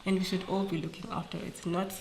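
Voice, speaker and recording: A woman's voice: fairly low pitch, quick pace, noisy background. A woman, a school principal, speaking earnestly in an interview, sounding nasal from a flu. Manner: earnest, animated, mixes in Zulu phrases. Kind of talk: interview conversation.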